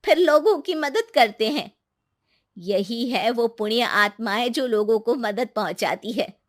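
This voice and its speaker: Woman acting, faithful village wife, secretive, folksy, emphatic, high and slow, cartoon story. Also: expressive. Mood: confiding. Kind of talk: Hindi animated moral story acting.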